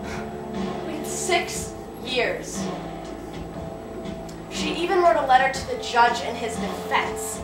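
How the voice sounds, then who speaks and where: A woman's voice: sits high, lively intonation, takes it slowly, long pauses. A woman performing a dramatic confessional monologue as a wounded, defiant daughter, speaking with emotional emphasis. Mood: bitter hurt. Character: wounded, defiant young woman recounting betrayal by her mother. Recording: echoey room, distant mic, music behind.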